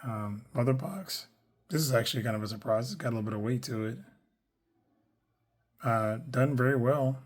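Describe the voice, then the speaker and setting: Hoarse guy unboxing a figure, easygoing and rambly, average pitch, keeps fixing his words. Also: moderate pace, pauses often. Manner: laid-back, rambling, many fillers and self-corrections. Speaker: toy collector reviewing action figures. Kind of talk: casual unboxing review.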